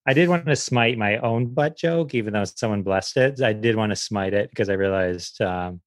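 A man's voice: lowish voice, normal speed, no room sound, clean studio sound. A man speaking as a comedian guest on a podcast, in casual comedic banter.

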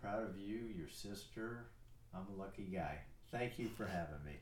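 Older man, low voice, roomy sound. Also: moderate pace, recorded from a distance. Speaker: guest, retired airline pilot. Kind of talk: casual chat.

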